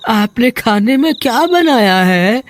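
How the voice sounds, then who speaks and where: A man plays a naive village fellow in a cartoon horror comedy. He talks slowly and exclaims with melodrama, lovestruck and easily scared, over faint background noise. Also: extremely high pitch, animated, heavily acted. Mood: relieved, naive.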